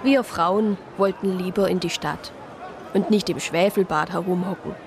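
Eine Schauspielerin spielt eine Diakonisse, die als Ich-Erzählerin in einem Hörspiel von ihren Erlebnissen berichtet. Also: female voice, lowish voice, steady tone, normal speed, noisy background, lightly acted. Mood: calm recounting. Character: anxious deaconess recounting her adventures abroad. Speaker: first-person narrator. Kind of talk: radio play narration and dialogue.

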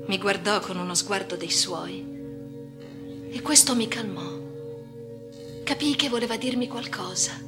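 A haunted woman patient, played by an actress, recounts institutional life in a radio play monologue. Her mid-pitched voice is intimate and often sinks toward a whisper. Music runs beneath her words. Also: natural voice. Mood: haunted, recollecting. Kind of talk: dramatic first-person radio monologue.

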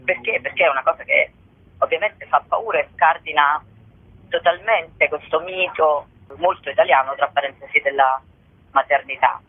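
Woman, interview guest, reflective, halting delivery, explanatory, narrow telephone audio, dry. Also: sits low, breathy edge, no room sound. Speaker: playwright and actress, interview guest. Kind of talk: radio interview, explaining own work. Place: remote phone call to a radio show. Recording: tinny, band-limited telephone line.

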